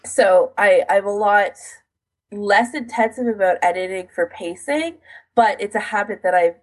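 A woman with a husky, slightly rough voice talks casually as a podcast co-host. Her delivery is rambling and conversational, peppered with "like" as she restarts her thoughts. Her pitch sits in the middle of the female range. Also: a little slow, low-quality recording. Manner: rambling, filler-heavy delivery with frequent "like". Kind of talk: casual chat about audio editing.

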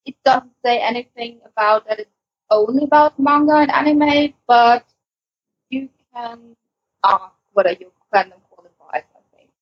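High-voiced husky woman podcaster. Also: moderate pace, dry recording, muffled recording. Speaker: podcast host. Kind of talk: casual podcast discussion.

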